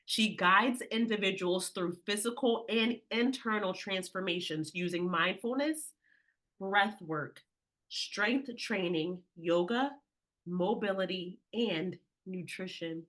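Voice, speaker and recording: A woman's voice: mid-range voice, slow pace, fairly bright tone, poor audio. A woman hosting a podcast interview, effusive and gushing with praise for her guest. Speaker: podcast host. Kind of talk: enthusiastic interview.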